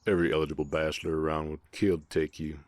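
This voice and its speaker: A man with an American Southern accent voicing a gentle, shy, gruff orc ranch hand in a romantic western audio roleplay, speaking haltingly and protectively. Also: rumbling low, level tone, dry recording, studio quality. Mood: reluctant, protective. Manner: bashful, halting, softly protective.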